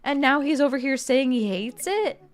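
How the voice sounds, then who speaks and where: A woman's voice: sits high, lively intonation, moderate pace. A young woman streaming a game, chatting casually and reading game text aloud in a bubbly way. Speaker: gaming streamer. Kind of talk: casual let's-play commentary.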